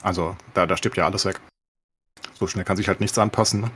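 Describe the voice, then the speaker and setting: A man with a lowish, rather husky voice chats casually as a podcast host. He speaks quickly and colloquially, leaning on sardonic understatement. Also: steady tone, fairly bright tone.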